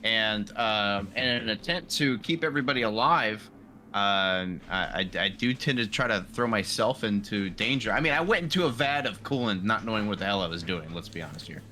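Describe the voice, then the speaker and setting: A man chatting casually as a player on a game podcast, enthusiastic but halting. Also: on the low side, lively intonation, bright, forceful tone, slight background noise. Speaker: player in a tabletop RPG podcast. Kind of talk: casual chat.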